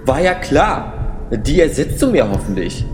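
A man's voice: a little high, very animated, unhurried. A man playing a grumpy, sarcastic young man in a comedic audio drama. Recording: music behind the voice.

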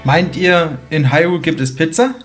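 A man's voice: a little high, normal speed. A man acting in scripted amateur audio drama dialogue. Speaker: cast member playing himself in audio play. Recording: loud music behind the voice.

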